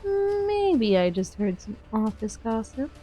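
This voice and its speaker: A woman's voice: on the high side, very animated, slow pace, mellow, soft background music. A woman playing a cool, taunting, cryptic mercenary in a fan-dubbed game drama, composed and provocative. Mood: taunting, probing.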